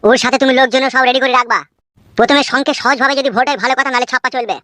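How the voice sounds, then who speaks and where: High, rapid-fire scheming village politician, acted by a woman. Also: steady tone, sharp tone, no room sound. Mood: businesslike scheming. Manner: crude, quick to scold. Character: scheming, hot-tempered village politician hungry for office. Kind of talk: comedy cartoon dubbing.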